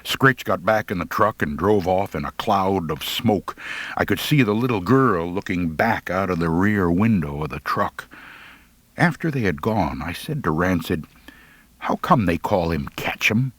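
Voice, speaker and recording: Male American audiobook narrator: folksy comic storytelling, lowish pitch, very animated melody. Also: moderate pace, dry recording. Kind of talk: humorous scripted first-person narration.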